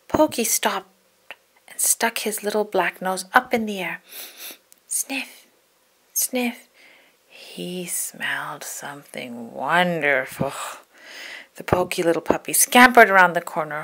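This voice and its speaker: A woman reads a story aloud for children. Her mid-pitched voice has plenty of creak in it. She tells it expressively and deliberately, leaning on key words. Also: a bit bright. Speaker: storybook read-aloud narrator. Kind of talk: scripted children's story narration.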